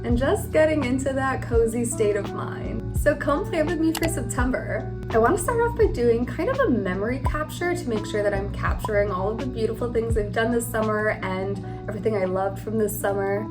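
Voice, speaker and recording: Sniffly woman vlogger with music behind. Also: a little high. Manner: gentle, dreamy, warm-hearted talk with light laughs and fillers. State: a little sniffly and scratchy from a cold. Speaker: lifestyle vlogger. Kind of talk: casual chat.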